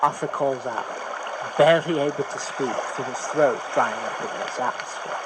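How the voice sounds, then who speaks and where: A man's voice: mid-range voice, moderate pace, very noisy. A man reading a scripted story as a dramatic narrator. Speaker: story reader. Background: music.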